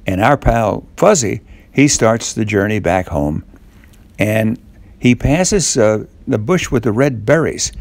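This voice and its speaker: A man telling a children's story off the cuff, in a halting way with little questions to the listener. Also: fairly low pitch, animated, vocal fry, dry recording.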